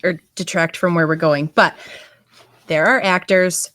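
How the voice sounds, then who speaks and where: A woman who is a guest on a podcast, talking about a film in a gushing, excitable way. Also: lowish voice, no room sound. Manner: excitable, gushing, quick reactions. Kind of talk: casual movie discussion.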